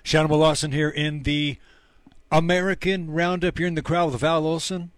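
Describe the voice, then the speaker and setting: A man's voice: on the high side, level tone, normal speed, dry recording. A man hosting a talk show, speaking in a blunt, opinionated way. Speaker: host.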